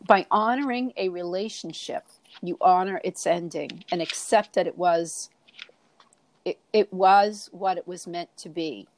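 A woman's voice: lowish voice, measured pace. A woman with a Boston accent, co-hosting a reflective spiritual podcast and coaching listeners with repeated rhetorical questions. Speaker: podcast co-host.

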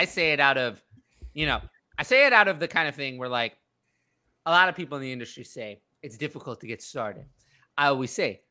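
A man's voice: mid-range voice, sharp tone. A man hosting a casual sports talk show and fielding questions from a live chat.

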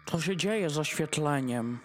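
Woman playing a slow-talking, harried male dog. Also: low voice, lively intonation. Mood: concerned, leading. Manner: theatrical rhymed declaiming. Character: harried male household dog, fussy festive host. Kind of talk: rhymed audio drama acting. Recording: music behind the voice.

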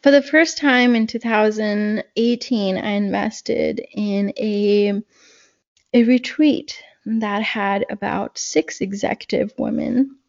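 A woman from Pakistan, a guest in a conversational interview, speaking slowly and reflectively with many fillers and restarts. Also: mid-range voice, fairly even intonation, vocal fry, dull audio.

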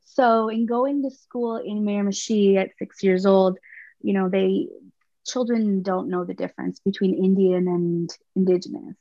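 A woman in her thirties from Canada, speaking as a podcast guest in a thoughtful, halting way with frequent restarts. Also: medium pitch, steady tone, mellow, no echo. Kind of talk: personal conversation. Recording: slightly muffled dry sound.

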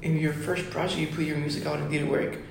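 A young man from Ecuador, a musician guest chatting casually on a podcast, excited and saying "dude" often. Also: fairly high pitch, quick pace, gravelly, slight background noise. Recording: very echoey room.

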